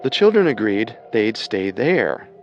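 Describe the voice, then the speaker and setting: A man narrating a scripted true-crime story with measured, dramatic storytelling. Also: lowish voice, sing-song, slight background noise.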